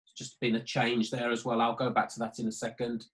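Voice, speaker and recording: Male trading tutor, mid-pitched voice, hesitant and repetitive, talking through live markets on an online call. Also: monotone, brisk. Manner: hesitant, repetitive, thinking aloud. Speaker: sports betting trading tutor. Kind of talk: live market walkthrough commentary.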